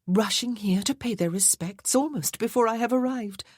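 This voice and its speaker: Woman doing a playful sly noblewoman, high lilting voice, dry close sound. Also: normal speed, husky, no echo. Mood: coquettish. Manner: lilting, coquettish, airy.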